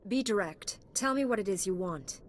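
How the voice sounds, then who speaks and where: A woman's voice: medium pitch, animated, normal speed, a little hiss or hum, natural voice. A woman playing a composed and direct knightly heroine in a video game, with controlled delivery. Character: composed, blunt young female Jedi knight. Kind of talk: game character dialogue.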